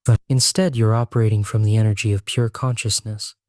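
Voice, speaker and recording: Guy doing a sleep meditation voiceover, mellow and dark tone, solemn and measured, really dry close sound. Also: fairly low pitch, fairly even intonation, no echo. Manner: solemn, measured, earnest delivery. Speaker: meditation guide. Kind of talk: scripted guided sleep meditation narration.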